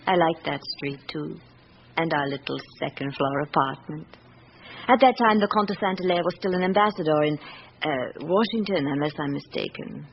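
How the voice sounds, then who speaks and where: A middle-aged British wife speaks in a radio drama with guarded, wistful composure. She is reflective and deliberate, on a slightly noisy, dull old recording. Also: deep voice.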